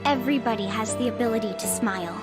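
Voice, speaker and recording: A woman acts out an earnest young anime-game heroine. Her voice sits very high, sincere and soft-hearted, with some hesitation. Music plays behind her. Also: fast talker, close to an everyday voice. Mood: gentle, reflective. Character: earnest, kindhearted young artificially created girl.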